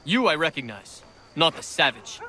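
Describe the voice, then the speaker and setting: A suspicious door guard in a video game, his tone bright and forceful. He speaks in short, curt challenges. His pitch sits a little high and moves a lot. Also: sing-song, normal speed, a little hiss or hum. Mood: challenging.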